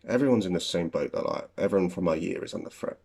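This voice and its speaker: A man's voice: low voice, fast talker, creaky, a little mellow, husky, full character voice. A British man in his twenties playing a disillusioned, anxious young graduate in a filmed diary monologue. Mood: resigned, bitter. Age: 20s. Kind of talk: spoken diary monologue in a short film.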